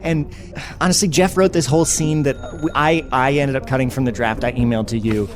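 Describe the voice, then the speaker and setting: A man playing a self-satisfied screenwriter pitching sci-fi, talking in a rambling, earnest, conversational way about absurd ideas. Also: fairly even intonation, brisk, lightly acted. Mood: self-assured. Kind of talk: improvised-sounding mock meeting, conversational. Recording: noisy room ambience.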